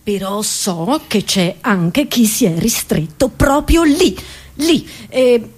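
High-pitched, frantic female comedian. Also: lively intonation, slow pace, husky. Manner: frantic, exclamatory, stammering repetitions. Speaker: stand-up comedian. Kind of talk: theatrical comic monologue.

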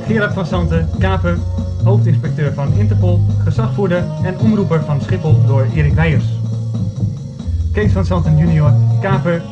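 A man narrates an amateur radio play in a fairly high voice. Music plays strongly behind him, and the recording is dull and homemade. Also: normal speed.

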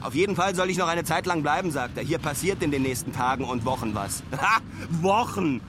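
Restless male traveller played by an actor, radio-play diary, higher voice, informal musing, noisy outdoor ambience. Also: animated, fairly quick, close to an everyday voice. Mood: restless, excited. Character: restless young male traveller keeping a space-watching audio diary.

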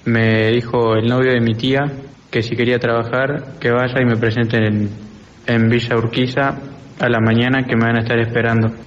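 Teen argentine boy, flat matter-of-fact answers, dull echoey classroom recording. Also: mid-range voice, normal speed. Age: teenager. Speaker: student interviewee. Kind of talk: informal recorded interview. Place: school classroom.

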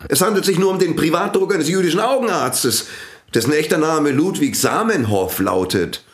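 An actor playing a stern, pompous priest who invented a language and leads its club, in a radio drama. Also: male voice, fairly high pitch, lively intonation, moderate pace, reverberant, lightly acted. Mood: indignant. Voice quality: pompous, scornful. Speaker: club leader.

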